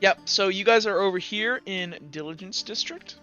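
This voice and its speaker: A man's voice: high-pitched, moderate pace, sharp tone, highs cut off, soft background music. A man as game master, narrating a tabletop game in a chatty, informal, loosely improvised way.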